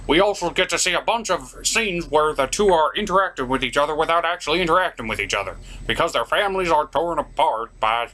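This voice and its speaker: A man hosts a scripted comedy review. His pitch is animated and moves around a lot as he recaps. The delivery is theatrical, shifting between dry narration and bigger reactions. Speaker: reviewer, show host. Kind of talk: scripted comedic cartoon episode review, puppet show.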